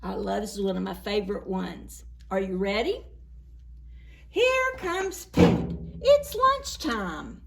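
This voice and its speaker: A woman storyteller reading a picture book aloud to young children in a playful, dramatic, expressive way. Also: medium pitch, takes it slowly, gravelly. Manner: animated, playful, dramatic reading with gasps and exclamations; addresses young children with simple questions.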